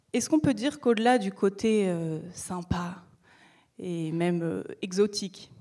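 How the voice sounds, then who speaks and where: A young woman founder giving a prepared stage talk, measured, with rhetorical questions and light humor. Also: mid-range voice, warm tone. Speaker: project founder presenting.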